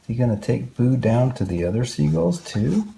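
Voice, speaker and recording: A man's voice: medium pitch, big pitch swings, moderate pace, gravelly, mellow, slight background noise. A man reading a picture book aloud to young children, expressively, with exclamations and questions to his listeners. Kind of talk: read-aloud picture book narration with asides.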